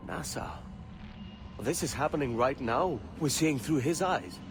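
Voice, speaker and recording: An actor playing a brash, ambitious young pirate. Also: male voice, medium pitch, unhurried, noisy background. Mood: awed. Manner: animated, brash.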